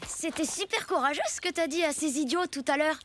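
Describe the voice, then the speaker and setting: A woman voicing a cheerful, loyal, earnest young caveboy in an animated cartoon, with an eager, expressive, exclamatory delivery. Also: sits high, brisk, noisy background, close to an everyday voice. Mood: friendly.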